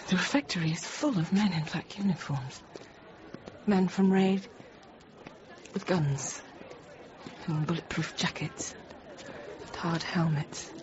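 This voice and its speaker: An actress playing an anxious, tender thirty-year-old infant teacher, confiding her account in halting, reflective phrases in a radio drama. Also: lowish voice. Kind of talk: radio drama monologue, intimate first-person narration. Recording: dull radio sound with faint noise.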